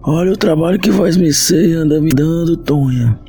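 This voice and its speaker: A man's voice: on the high side, normal speed, mellow, soft background music, close to an everyday voice. A man playing a stern, grumbling old father with a rural Brazilian accent in an audio drama. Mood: gruff scolding. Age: older.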